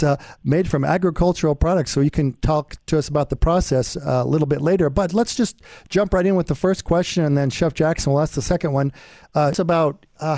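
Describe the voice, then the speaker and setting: A man co-hosting a food radio show, enthusiastic and a bit halting as he introduces a guest. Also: a little high, mellow, dry recording.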